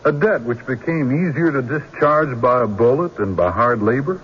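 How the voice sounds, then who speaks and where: An actor playing a shrewd country defense lawyer in a radio drama, deliberate and rhetorical, pressing pointed questions. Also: male voice, medium pitch, animated, husky. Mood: pointed questioning. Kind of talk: old-time radio drama acting. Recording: dull, noisy radio drama recording.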